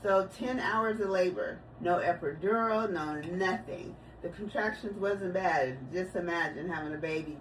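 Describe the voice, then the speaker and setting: A woman's voice: on the low side, moderate pace, hardly any pauses. A woman in her forties talking casually about her family on her video channel, telling stories and laughing easily. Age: 40s. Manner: chatty, storytelling, laughs easily. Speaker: vlogger. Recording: distant mic, noisy room.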